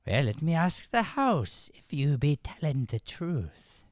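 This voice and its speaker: A man acts out a menacing Irish householder who guards his alley. He taunts in slow, drawn-out threats with a widely swinging pitch. The sound is close and dry, like an improvised radio play. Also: very animated, no echo. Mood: taunting. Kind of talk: improvised old-time radio comedy play.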